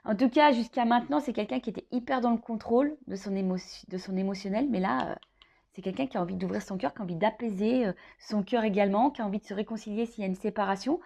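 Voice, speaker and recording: A woman's voice: medium pitch, fast talker, gravelly, warm tone. A woman giving an informal, improvised tarot reading straight to the listener, thinking aloud with hesitations, repeats and little tag questions. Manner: hesitant, thinking aloud, with frequent "hein" tags and repeated phrases. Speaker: tarot card reader hosting a love horoscope reading. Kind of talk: informal improvised tarot guidance addressed to the viewer.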